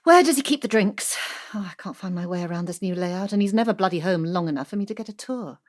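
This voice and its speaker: A woman's voice: medium pitch, expressive, fast, close to an everyday voice. An actress playing a cool, controlling wealthy wife in her forties, dry and clipped, in a naturalistic audio drama. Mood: dry, aloof. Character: cool, wealthy, controlling middle-aged wife, dryly cutting.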